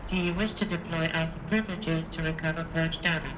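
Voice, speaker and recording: A woman voicing a calm, impersonal computer system in a video game, making formal announcements. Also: deep voice, quick pace, background noise, close to an everyday voice. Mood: impassive.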